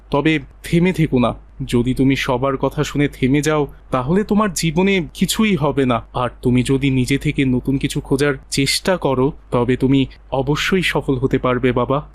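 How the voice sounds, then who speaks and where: A man's voice: medium pitch, close to an everyday voice. A man playing a kindly adult uncle in a moral cartoon story, counselling in an earnest, reassuring way. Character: kindly, encouraging adult uncle advising a boy.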